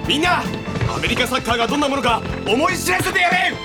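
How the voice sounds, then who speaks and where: Boastful teen rival captain in animation, animated taunting delivery, loud score underneath. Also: male voice, very high-pitched, fast talker. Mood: aggressive. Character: cocky, aggressive teenage boy rival soccer captain. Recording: loud music behind the voice.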